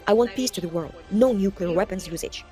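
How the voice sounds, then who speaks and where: Woman, voice-over interpreter; steady, earnest read, warm timbre, soft music bed. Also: mid-range voice, brisk.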